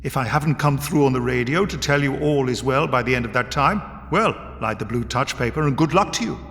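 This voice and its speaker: A man's voice: mid-range voice, a little fast, fairly bright tone, voice pushed up, close to an everyday voice. Man as a breezy British adventurer, reverberant. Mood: persuasive, wry. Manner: breezy, polite, wry British turns of phrase. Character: breezy, eccentric, courteous male adventurer, wryly persuasive. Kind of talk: dramatised audiobook reading.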